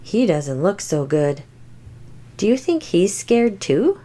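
Female reader as dramatic little storybook dinosaur, anxious yet boastful, swooping expressive pitch. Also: slow. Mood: brave, reassuring. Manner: exaggerated, expressive, emphatic. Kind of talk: children's picture book read-aloud.

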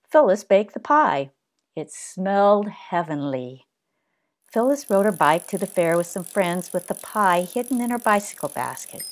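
Expressive woman reading a children's storybook, lower-pitched. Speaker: storybook narrator.

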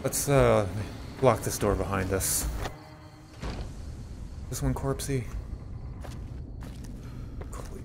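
A man's voice: mid-range voice, extremely slow, vocal fry, pauses often. Man rambling through casual game commentary, music behind. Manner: rambling, sweary. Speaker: video game streamer.

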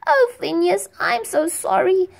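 A woman voicing a small, earnest boy who plays at being a knight, asking anxious, pleading questions in a raised, high voice. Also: lively intonation, measured pace, creaky, heavily acted.

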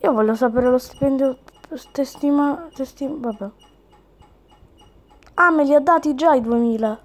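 A woman with a fairly high voice gives casual game commentary. She is chatty, slipping between her own remarks and reading the game's text out loud. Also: a little slow. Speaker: gaming video host.

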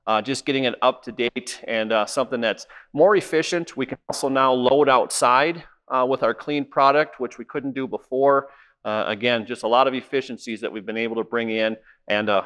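A man's voice: medium pitch, a bit bright, smooth, no room sound. A middle-aged man who manages a seed program gives a plain-spoken walking tour of a cleaning plant and wheat fields, with many "uh" fillers. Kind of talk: informal walk-through tour.

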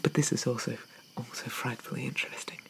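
A man playing a shy, apologetic young zombie in an ASMR roleplay, with hesitant, stammering, old-fashioned politeness and a soft whisper. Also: medium pitch, fairly even intonation, quick pace. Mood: timid wonder. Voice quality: soft, breathy whisper with creaky edges.

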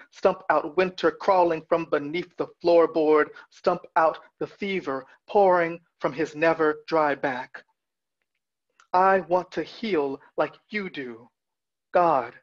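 A man in his thirties reading poetry with deliberate, measured phrasing over a video call. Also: a little high. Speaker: poet. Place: small room at home with bookshelf. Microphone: video call, wearing headphones. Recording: dull, lo-fi video call audio.

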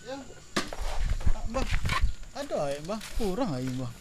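A man's voice: sits high, very animated, measured pace. A man chatting casually outdoors. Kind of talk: casual chat. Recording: busy, chatter-filled outdoor recording.

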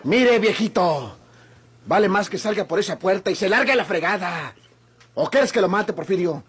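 An actor playing a boisterous, trigger-happy gunslinger in an old radio drama, taunting and folksy, with a rural Mexican accent. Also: male voice, high voice, lively intonation, moderate pace, fairly bright tone, slightly rough, soft background music, heavily acted. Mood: menacing. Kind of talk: Mexican golden-age radio drama acting.

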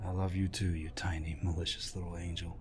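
An actor playing a gruff, protective older war veteran in an intimate audio roleplay, deep-voiced, tender and teasing. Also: male voice, level tone, brisk, crowd noise, close to an everyday voice.

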